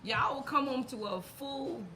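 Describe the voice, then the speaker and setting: A woman's voice: sits high, measured pace, crowd noise. A woman in her thirties, a mixologist guest, chatting casually and laughing as she tells stories. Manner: laughing, animated storyteller. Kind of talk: casual chat.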